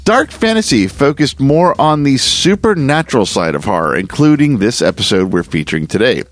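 Male host, scripted intro, medium pitch, animated intonation, faint music behind. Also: moderate pace, no room sound.

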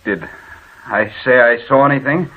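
An actor playing a brooding, irritable husband turning menacing in an old radio horror drama, curt and commanding. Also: male voice, medium pitch, normal speed, slightly rough, close to an everyday voice. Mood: cold, menacing. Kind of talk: vintage radio horror acting. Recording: muffled, phone-like old radio recording.

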